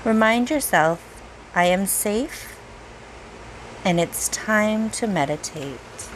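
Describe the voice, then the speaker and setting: Slow-paced woman guiding a meditation. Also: medium pitch, lively intonation, a little hiss or hum, rough recording. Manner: gentle, unhurried, with long pauses. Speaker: meditation guide, reiki teacher. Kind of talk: guided meditation, slow soothing narration.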